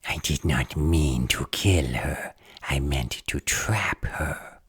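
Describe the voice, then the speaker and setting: An actor playing a defensive male gremlin prisoner in an audio roleplay, with a raspy growl and a slow, terse delivery. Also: very deep voice, full character voice. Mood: grudging confession.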